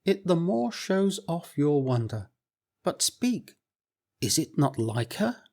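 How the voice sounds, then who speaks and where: Man doing a dignified court lady, stately and measured, raised voice, unhurried. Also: on the high side, very animated, gravelly, dark tone, exaggerated voice. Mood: coaxing. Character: proud, loyal court lady, middle-aged woman. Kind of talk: storybook reading.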